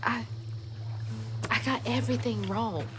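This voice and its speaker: A woman's voice: fairly high pitch, a little slow, background noise, close to an everyday voice. A woman acting a determined young Southern woman searching for her mother in a video game cutscene. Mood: downcast. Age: young adult.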